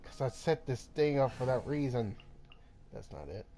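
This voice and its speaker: A man live streaming a game with casual, informal commentary, muttering to himself between louder reactions. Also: medium pitch, sing-song, moderate pace, slight background noise.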